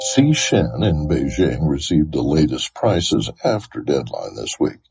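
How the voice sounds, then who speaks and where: Deep voiced host guy, swoopy lively delivery, faint music under. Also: measured pace, vocal fry, rough recording. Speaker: podcast host. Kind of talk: scripted narration and floor interviews.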